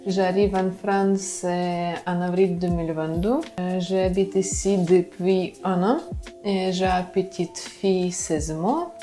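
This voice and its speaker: A woman in her thirties from Ukraine, with a Ukrainian accent, giving a halting interview testimony and searching for her words. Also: fairly low pitch, steady tone, warm tone, soft background music. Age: 30s.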